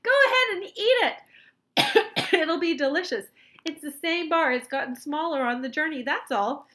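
A woman reading a children's storybook, voicing an excitable, eccentric male chocolate inventor who commands and exclaims like a showman. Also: fairly high pitch, moderate pace, pitched above the performer's own voice. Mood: thrilled, urgent.

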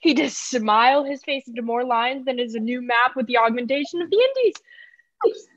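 A woman's voice: a little high, animated, normal speed, fairly bright tone. A young woman playing a mischievous, giggly maidservant with exaggerated delivery in a Shakespeare comedy read over video call. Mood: gleeful, scheming. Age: young adult. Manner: giggly, breaking into laughter, exaggerated. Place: living room sofa, video call. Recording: dull echoey video call audio.

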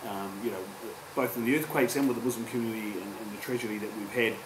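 A New Zealand man in his forties speaking on a public panel, earnest and halting, with frequent restarts. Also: fairly low pitch. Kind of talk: panel discussion. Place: university lecture room. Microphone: table microphone, distant. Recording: echoey room with background hum.

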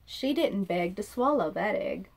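Animated woman reading a children's storybook. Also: mid-range voice, measured pace, gravelly. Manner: animated, sing-song rhyming delivery. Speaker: children's read-aloud host. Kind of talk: expressive storybook read-aloud for children. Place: small indoor room.

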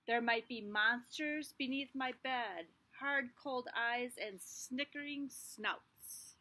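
Middle-aged woman teacher, storytime read-aloud, high and bright, unhurried, recorded outdoors on a handheld device. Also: slight background noise. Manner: expressive storytime reading with small asides. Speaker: kindergarten teacher. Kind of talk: reading a picture book aloud to children. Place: outdoors in a backyard under trees. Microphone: device camera at arm's length.

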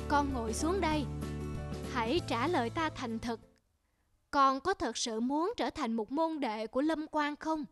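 A woman voicing a wise, patient old male monk master in a cartoon, with a measured, instructive manner. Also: sits high. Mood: serious. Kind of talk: cartoon voice acting. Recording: music under the voice.

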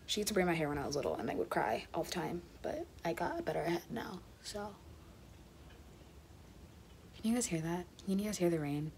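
A teenage girl chatting casually to viewers in a vlog, her voice a bit raspy, filmed on a phone held close in a small bedroom. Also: on the low side, lively intonation, a little fast, pauses often, a little mellow, slight background noise. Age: late teens. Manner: chatty, rambling, addresses viewers as guys. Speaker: vlogger. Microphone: phone held close at arm's length.

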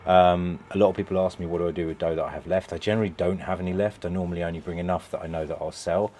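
A deep, low-pitched British man in his thirties talks through his setup in a loose, rambling way, full of ums and restarts. He is recorded on a lapel mic inside a metal food van. A faint background ambience sits under his voice. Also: fairly even intonation, rapid-fire, warm tone, slight background noise. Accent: British English. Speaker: pizza van owner. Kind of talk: casual vlog walkthrough. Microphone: lapel mic, camera on a shelf.

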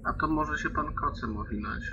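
A man's voice: a little high, normal speed, gravelly. A man playing a polite but argumentative, complaining fifteen-year-old boy in an online roleplaying game. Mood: plaintive. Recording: band-limited online call with steady noise.

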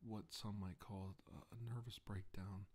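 A middle-aged man playing a solemn doctor giving testimony about his alien abduction in an ASMR roleplay, halting and deliberate with long pauses. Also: lowish voice, fairly even intonation, darkish tone. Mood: grave, measured. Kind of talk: ASMR roleplay, slow deposition monologue. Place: indoor room, seated at a table. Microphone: microphone on the table close in front.

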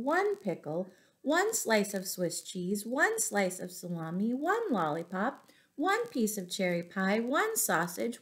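A middle-aged woman reading a picture book aloud to young children, with deliberate, expressive phrasing and little asides to her listeners. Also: mid-range voice. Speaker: children's storyteller, teacher. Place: small furnished room at home.

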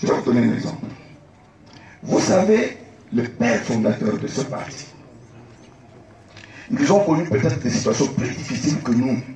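A man's voice: mid-range voice, very animated, moderate pace, long pauses, darkish tone, a little hiss or hum. Argumentative man debating, distant. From Democratic Republic of the Congo. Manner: argumentative, rhetorical questions, repeats key points. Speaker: candidate for party president. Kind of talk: debate answers. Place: outdoor tent stage. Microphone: phone recording from the audience. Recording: echoey, distant phone recording.

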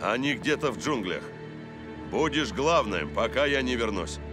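An actor playing a stern, level-headed middle-aged soccer coach in a cartoon dub. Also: male voice, mid-range voice, expressive, normal speed, close to an everyday voice. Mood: serious, concerned. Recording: background music under the voice.

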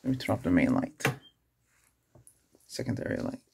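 A middle-aged man doing a soft-spoken ASMR doctor roleplay, murmuring unhurried questions close to the mic in a creaky, breathy voice. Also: background noise. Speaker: ASMR performer playing an ER doctor examining a patient. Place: small indoor setup with cloth backdrop.

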